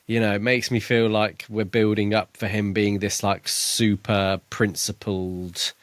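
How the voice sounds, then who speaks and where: A man co-hosting a podcast, casually discussing a book in a tentative, halting way as he thinks aloud. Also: lowish voice, flat delivery, dry recording. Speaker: podcast co-host.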